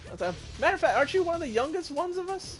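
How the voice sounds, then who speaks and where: A man hosting a casual gaming stream, talking in a fairly high voice. He is chatty and quick to laugh. Game music plays clearly in the background. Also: sing-song. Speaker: streamer host.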